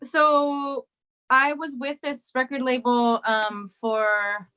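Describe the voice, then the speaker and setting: A woman's voice: high-pitched, little pitch movement, slow, sharp tone, clean tone. Hesitant woman chatting in interview. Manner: hesitant, many ums and restarts. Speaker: guest singer. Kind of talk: casual interview chat.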